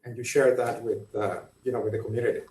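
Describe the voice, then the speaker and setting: Halting man moderating from far off. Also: mid-range voice, fairly even intonation, mellow. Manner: halting, many fillers and restarts. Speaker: panel moderator. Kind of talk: hesitant panel introduction. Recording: echoey room, far from mic.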